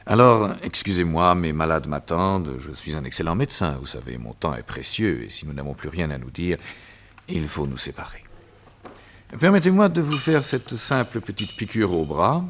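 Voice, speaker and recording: An actor voicing a gloating, theatrical villain who is a doctor and hypnotist in a vintage radio drama. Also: male voice, low voice, sing-song, moderate pace, fairly bright tone, heavily acted. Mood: coldly smug. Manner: sneering, theatrical, laughs at his own cruelty.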